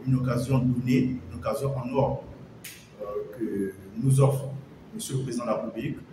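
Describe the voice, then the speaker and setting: A man from Gabon giving a hesitant, earnest statement to the camera, pausing often with fillers. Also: mid-range voice, heavy reverb, background noise. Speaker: party member interviewed.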